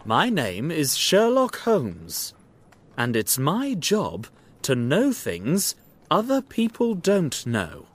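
A man voicing a confident, shrewd, courteous detective in an acted storybook, speaking in an assured and deliberate way. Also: fairly high pitch, wildly swooping pitch, pitched above the performer's own voice, full character voice.

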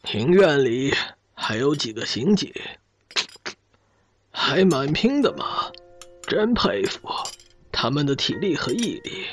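Husky, animated, sardonic man. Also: a little high, slow pace, long pauses, no echo, heavily acted. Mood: wry. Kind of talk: audio drama acting.

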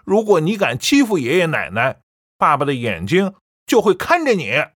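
Woman reading a scornful young son, dry close voice, lively swings in pitch. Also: normal speed, no echo. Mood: cold defiant. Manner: cold, scornful, lecturing. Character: defiant cold young man, grown son.

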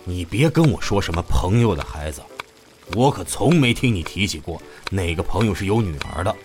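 A man's voice: low voice, very animated, fairly quick, a little mellow, faint music behind. A man playing a blunt, teasing middle-aged father and fond grandpa in a modern Chinese audio drama. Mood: suspicious, probing. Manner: blunt, teasing, mock-scolding.

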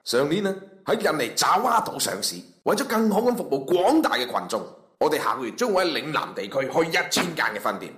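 An actor playing a stern, scornful company interviewer in a comic radio drama, formal and lecturing, with a Guangzhou Cantonese accent. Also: male voice, fairly high pitch, very animated, fairly quick, a bit bright. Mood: businesslike. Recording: echoey room.

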